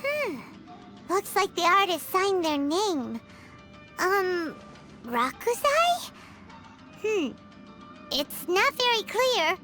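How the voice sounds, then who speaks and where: The jittery little floating sidekick who squeaks along behind the hero in a fantasy video game, unhurried yet whiny, music all around. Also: female voice, big pitch swings, heavily acted. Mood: nervous, curious. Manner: speaks of herself in third person, whiny. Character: small childlike fairy companion, squeaky, timid, excitable. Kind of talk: game voice acting. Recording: music under the voice.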